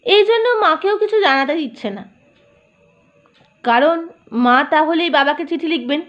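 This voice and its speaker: A woman narrating a short story in a measured, gently expressive reading. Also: high voice. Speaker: audiobook narrator. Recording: dull lo-fi recording.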